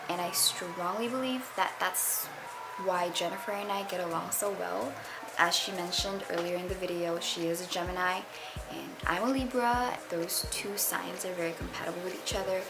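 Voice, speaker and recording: A woman's voice: lowish voice, fairly even intonation, normal speed. A twenty-year-old woman from the Philippines, chatting casually and candidly as a vlogger introducing herself. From Philippines, moved to the United States at eleven. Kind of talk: casual get-to-know-me chat. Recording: music playing behind the voice. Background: music.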